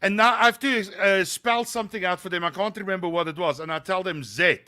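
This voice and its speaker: A man's voice: sits high, quick pace, sharp tone. A man, hosting a casual interview and telling anecdotes with frequent restarts. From South Africa. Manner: chatty, hesitant restarts while telling anecdotes. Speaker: interview host.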